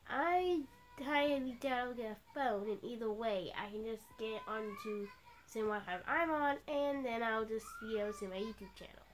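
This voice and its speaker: A young girl chatting with a friend during a game video, rambling with lots of pauses and self-corrections. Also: a little high, slight background noise. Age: child. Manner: rambling, many fillers and restarts. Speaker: friend co-hosting. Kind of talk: casual chat.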